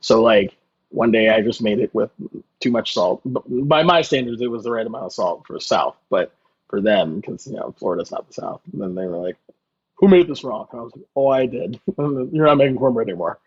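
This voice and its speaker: A man's voice: mid-range voice, expressive, fairly quick, vocal fry, breathy edge, highs cut off. A man chatting casually as a guest chef, telling stories in a joking, self-deprecating way. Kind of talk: casual conversational storytelling.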